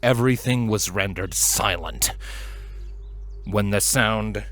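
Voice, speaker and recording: A man narrating a scripted story in an expressive, dramatic manner. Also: on the low side, takes it slowly, slight background noise. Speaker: fan fiction reader, narrator.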